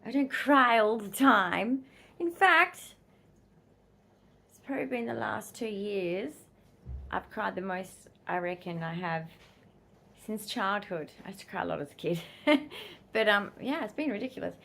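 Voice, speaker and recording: An Australian woman with a croaky voice, chatting casually and rambling to her vlog audience at home and in a car. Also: mid-range voice, lively intonation, unhurried, a bit bright, reverberant. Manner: chatty, rambling, laughs often. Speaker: vlogger.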